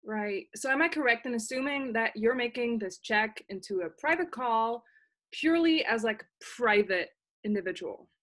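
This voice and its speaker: High pitch, ditzy female operator played by a young woman, casual, apologetic, hesitant, filler-heavy, comic play. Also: animated, normal speed, fairly bright tone. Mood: vague, unhurried. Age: young adult. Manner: hesitant, filler-laden valley-girl phrasing. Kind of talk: comic stage-play acting over video call. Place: small plain room at home. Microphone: webcam video call.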